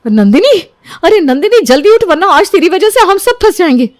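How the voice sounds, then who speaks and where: A woman voicing an obedient young bride who speaks in a hurried, nervous way. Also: extremely high pitch, very animated, no room sound, studio quality, voice pushed up. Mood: urgent.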